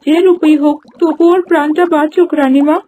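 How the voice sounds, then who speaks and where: Woman voicing a high-pitched, humble palace maid. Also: steady tone, a little fast, noisy background, lightly acted. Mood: anxious, pleading. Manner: respectful, pleading. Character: humble, deferential palace maidservant. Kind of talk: cartoon voice acting.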